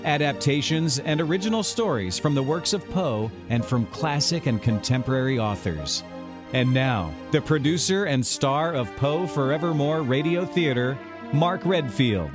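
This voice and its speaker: A man's voice: medium pitch, lively intonation, moderate pace, over music. A man announcing a radio theater program in a formal, scripted showman's delivery.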